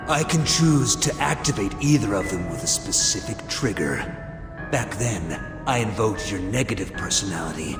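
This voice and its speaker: A megalomaniac scientist villain from a video game with a fairly low voice. He gloats coldly and theatrically, stretching key words with smug satisfaction. The voice echoes, with music clearly playing underneath. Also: lively intonation. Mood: coldly explaining. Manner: theatrical, gloating, drawn-out emphasis.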